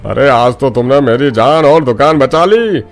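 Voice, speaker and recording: A man's voice: medium pitch, expressive, measured pace, faint music behind. A man playing a harried but good-hearted biryani cart owner in a cartoon. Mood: grateful, obliging.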